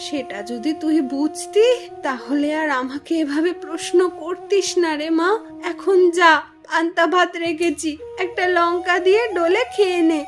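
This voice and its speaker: A woman's voice: very high-pitched, unhurried, faint music behind. A woman playing a poor village mother in a cartoon fairy tale, weary and tender, scolding out of worry in a plaintive way. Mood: tender, sad. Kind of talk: animated fairy tale dialogue.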